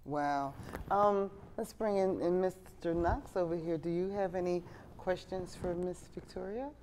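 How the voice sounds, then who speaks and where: A woman's voice: lowish voice, unhurried, darkish tone, slight background noise. A woman hosting a talk show, blunt and outspoken, speaking casually to her guest. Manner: outspoken, blunt, laughs easily.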